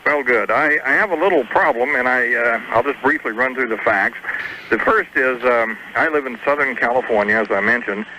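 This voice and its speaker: A man calling a radio advice show by phone, explaining his problem in a hesitant, halting way. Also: medium pitch. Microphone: telephone. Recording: dull, noisy phone call on radio.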